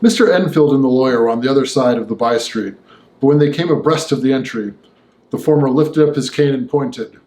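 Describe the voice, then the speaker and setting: A man's voice: mid-range voice, brisk, a little mellow, roomy sound. A man giving a scripted literary narration as an audiobook reader.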